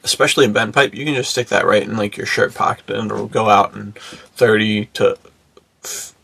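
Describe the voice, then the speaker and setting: A man's voice: fairly low pitch, normal speed, creaky, bright, forceful tone, husky, no room sound. A man co-hosting a podcast in casual chat, talking in laid-back bro slang. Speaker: podcast co-host.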